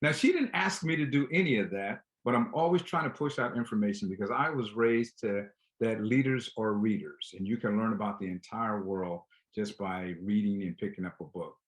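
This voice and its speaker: A man's voice: lowish voice, normal speed, hardly any pauses, rough recording. A man hosting a talk show, speaking conversationally and directly to his listeners and guest. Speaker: show host. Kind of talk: conversational show introduction and interview.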